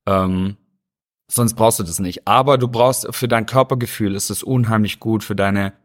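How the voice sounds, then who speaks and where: Swabian guest, low-ish voice, rambling interview chat. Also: male voice, normal speed. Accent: Swabian German. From Stuttgart, Germany. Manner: easygoing, rambling, many hesitations. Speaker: interview guest, dancer and choreographer.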